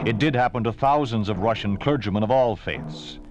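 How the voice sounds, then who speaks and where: Low-pitched, grave American radio announcer. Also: male voice, fairly quick, a little mellow, dull audio. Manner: grave, declarative. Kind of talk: 1950s radio announcing, scripted. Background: prominent music.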